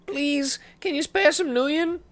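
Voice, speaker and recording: A man's voice: very high-pitched, takes it slowly, a bit bright, pitched above the performer's own voice, heavily acted. A man voicing a desperate female junkie who begs and pleads frantically. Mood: desperate begging. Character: desperate female junkie begging for drug money.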